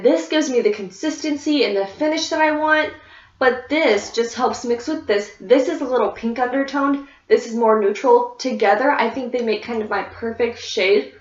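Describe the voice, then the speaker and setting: A woman with a somewhat high voice, a little sniffly and congested, chats through her favorite products in an easy, rambling way, full of fillers and restarts. The room is echoey and the recording sounds dull and lo-fi. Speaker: beauty vlogger. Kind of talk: casual product review chat.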